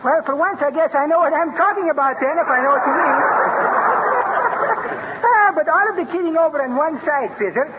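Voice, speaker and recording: A man's voice: very high voice, expressive, brisk. An actor playing a genial Greek immigrant who mangles his English, with a Greek accent, in 1930s radio comedy style. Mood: jovial teasing. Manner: jovial, muddled malapropisms and twisted idioms. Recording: muffled, very noisy 1930s radio recording.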